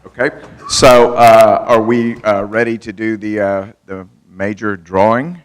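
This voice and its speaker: A man moderating a conference panel, joking and moving things along quickly. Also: fairly low pitch, level tone, smooth. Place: conference hall.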